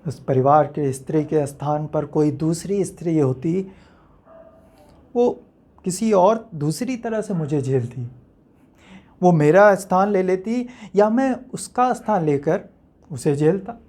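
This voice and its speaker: Male actor as enigmatic uncertain man, theatrical monologue, reflective, rhetorical, deliberate pauses, philosophical address to an audience. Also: fairly high pitch, animated, a little mellow. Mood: brooding, questioning.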